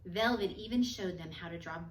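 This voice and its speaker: A woman reading a children's picture book aloud in an expressive, measured storytelling manner, with phrases that trail off softer. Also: lowish voice, slight background noise. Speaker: storybook reader. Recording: echoey room.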